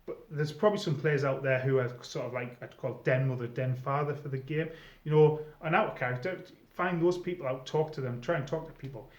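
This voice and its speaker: A middle-aged man giving informal advice to viewers in a conversational, emphatic way, often pausing mid-sentence. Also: mid-range voice, steady tone. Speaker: experienced role player giving advice to newcomers. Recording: echoey room, slightly dull sound.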